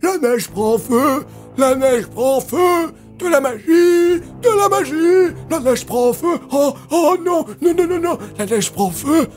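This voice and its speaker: A very high, squawking bird from an audio story, frantic and excitable. It shrieks the same words again and again over faint music. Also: male voice, slow, heavily acted. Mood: panicked.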